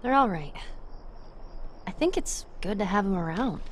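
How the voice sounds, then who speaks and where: A woman's voice: medium pitch, expressive, normal speed, a little hiss or hum, close to an everyday voice. A woman playing a curious, wry teenage girl survivor in a naturalistic video game performance. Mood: curious, sincere.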